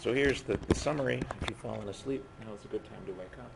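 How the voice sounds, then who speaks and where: A man giving a physics lecture while losing his voice, speaking haltingly and often breaking off mid-phrase. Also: on the low side, vocal fry. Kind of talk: university lecture at a board. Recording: noisy classroom recording.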